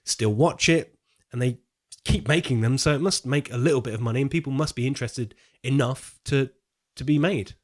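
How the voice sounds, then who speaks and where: Guy on a podcast talking films casually, kinda thinking out loud, repeats words as he goes. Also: medium pitch, fairly quick. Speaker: podcast co-host. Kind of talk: casual film discussion.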